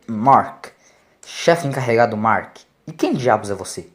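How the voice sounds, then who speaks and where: A man's voice: mid-range voice, animated, measured pace. A man voicing an officious, blustering ship's chief in an audio story, formal and authoritative. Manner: authoritative, formal, forceful announcements. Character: officious male ship chief, blustering, anxious to keep order. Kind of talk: audio story character acting.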